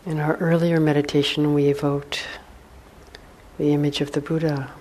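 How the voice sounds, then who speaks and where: A woman meditation teacher leading a guided meditation in a hushed, soothing manner, with long pauses between short phrases. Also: sits low, little pitch movement, rough recording. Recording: faint background noise.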